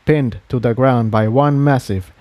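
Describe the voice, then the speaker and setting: A man's voice: medium pitch, measured pace, dry recording, lo-fi sound. A man reading an adventure story aloud as a scripted dramatic narration. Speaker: fan fiction reader and narrator.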